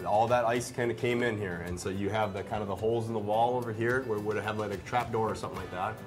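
Man, thirties, lowish voice, informal tour guide, rambling delivery, light music behind. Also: steady tone, fast. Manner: informal, rambling, hedging with "kind of". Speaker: brewery representative giving a tour. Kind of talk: casual interview tour. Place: indoors in brewery rooms and stone cellar. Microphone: lapel.

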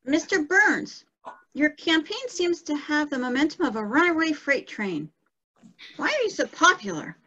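Woman as high, bright little girl. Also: sing-song, normal speed, muffled recording, heavily acted. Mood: dutiful. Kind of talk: amateur cartoon table read.

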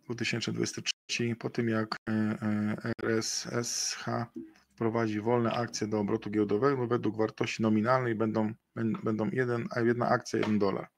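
Middle-aged male webinar presenter, gravelly voice, hesitant, fills gaps with "e", webcam sound. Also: on the low side, little pitch movement, moderate pace. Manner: hesitant, many fillers and restarts. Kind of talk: investment webinar talk.

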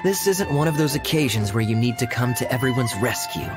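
A man voicing a suave young male knight captain in a video game, with a smooth, teasing manner. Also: medium pitch, lively intonation, brisk, music in the background, lightly acted. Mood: reassuring.

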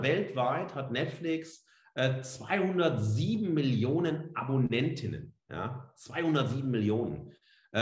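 A man giving an explanatory slide lecture as a professor, speaking emphatically with asides. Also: medium pitch. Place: indoor room, seated on camera. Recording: roomy, echoing indoor sound.

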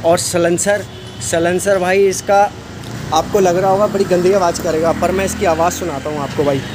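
Man, high voice, boastful casual chatter, outdoor bike shop, phone camera, loud traffic behind. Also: fairly even intonation, normal speed. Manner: chatty, says "bhai" constantly, boastful. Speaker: bike mechanic and channel host. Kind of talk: casual walkaround video talk. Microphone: phone camera, speaker off screen. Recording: noisy street and traffic behind.

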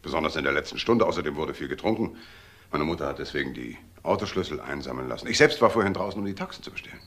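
A man's voice: a bass voice, expressive, rapid-fire, a bit bright. A man playing an impatient, self-assured businessman in a crime radio drama, with a protesting manner.

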